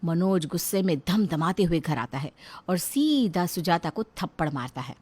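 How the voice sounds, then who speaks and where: A woman's voice: on the low side, animated, normal speed, darkish tone, breathy edge, no echo. A woman storyteller reading a scripted narration for a moral family story.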